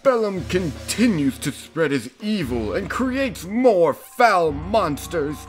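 A man voicing a solemn old ocean spirit king in a cartoon parody, deliberate and dryly wise. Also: high-pitched, big pitch swings, soft background music, full character voice. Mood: grave.

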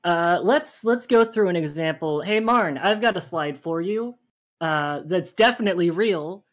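A woman's voice: on the low side, expressive, unhurried. A woman hosting a casual livestream game show, explaining the rules at length with many fillers.

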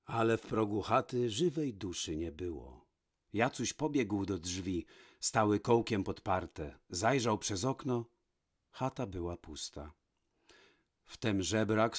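A man narrating a fairy tale from a script, with measured, dramatic pauses. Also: on the low side. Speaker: storyteller, narrator.

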